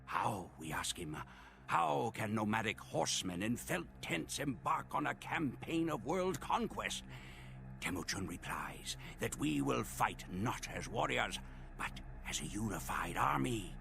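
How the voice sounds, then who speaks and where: Solemn steppe storyteller, Mongol chronicler, creaky gravelly voice, expressive rise and fall, theatrical, emphatic, video game narration. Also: male voice, lowish voice, a little slow, background noise, full character voice. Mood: rising, fervent. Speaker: campaign narrator. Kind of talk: scripted dramatic epic narration. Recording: voice over a clear music bed. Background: game cutscene music.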